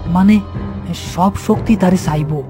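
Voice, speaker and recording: A man's voice: sits high, a little slow, music in the background. A man voicing an anxious, protective young uncle in a cartoon, speaking colloquially with a Bangladeshi accent. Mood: pondering. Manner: tender, worried, colloquial. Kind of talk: horror cartoon character acting.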